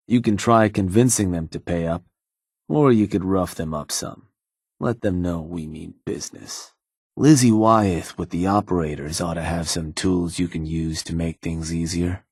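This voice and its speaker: A gruff husky raider lieutenant. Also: male voice, low voice, a little mellow, dry recording, full character voice. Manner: terse, businesslike, dry. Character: gruff male raider lieutenant, laconic, world-weary. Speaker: game character. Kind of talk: video game voice lines.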